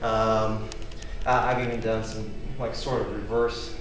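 A man's voice: fairly low pitch, flat delivery, unhurried, noisy background. A man in his thirties, casually rambling as he demonstrates homemade gym gear and tells a story, often checking in with "okay?". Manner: rambling, chatty, keeps asking "okay?". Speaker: home fitness vlogger. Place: large wood-paneled garage at night. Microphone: camera mic from across the room. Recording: echoey garage, voice from far off.

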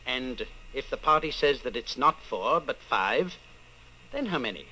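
An actor playing a coldly patient, menacing party inquisitor in old-time radio style, measured, condescending and commanding. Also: male voice, mid-range voice, expressive, pushed and bright, slight background noise, poor audio. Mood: cold insistence.

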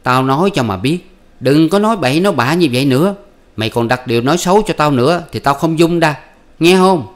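A man voicing a sly, foul-mouthed peasant wife who scolds and curses in a high, loud voice. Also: animated, moderate pace, close to an everyday voice. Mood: scolding, cursing. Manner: shrill scolding and cursing. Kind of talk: storybook reading.

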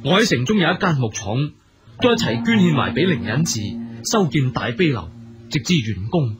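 A devout, generous merchant in a radio drama, speaking earnestly with a Hong Kong Cantonese accent. The voice is fairly high and very lively in pitch, over music. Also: male voice, fairly quick. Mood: reverent, eager.

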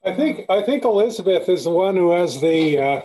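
An older man chatting on a video call from a room full of bookshelves, halting and repeating words. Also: sits high, very animated, dark tone. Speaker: astronomy club member. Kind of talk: casual video call chat.

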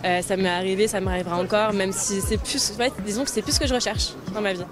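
A young woman answering a street interviewer on a busy city sidewalk, frank and thoughtful with pauses, into a handheld mic. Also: medium pitch, steady tone, over music. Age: young adult. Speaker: passer-by interviewee. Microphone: handheld mic held by interviewer.

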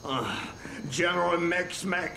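An actor playing a flustered, blustering army general in an audio drama, exclaiming and stammering. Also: male voice, high voice, steady tone, slow pace, gravelly, heavily acted. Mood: dismayed. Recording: roomy, with faint noise.

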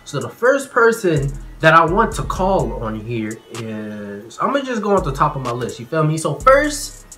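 A man's voice: a little high, very animated, measured pace, bright, forceful tone, faint music behind. A man, chatting to viewers in casual slang as a YouTube host. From Chicago, United States. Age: 20s. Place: small plain room indoors.

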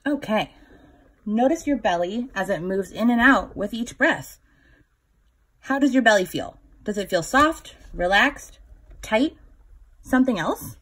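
A woman in her thirties reading a children's picture book aloud, instructive, pausing to put questions to young listeners. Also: a little high, lively intonation, fairly bright tone, husky. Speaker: children's story time reader.

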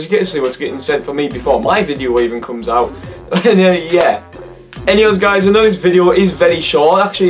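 A hoarse teenage young man with a Cumbrian accent, chatting and laughing in a casual reaction vlog from his bedroom. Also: on the high side, far from the mic. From Cumbria, England. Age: teens. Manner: laughing, swearing, incredulous. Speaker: YouTuber. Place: bedroom, indoors. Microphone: webcam at desk.

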